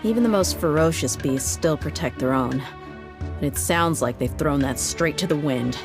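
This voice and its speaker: A low-voiced frank mercenary. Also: female voice, moderate pace. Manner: frank, laid-back. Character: tough, easygoing female mercenary leader. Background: faint game music.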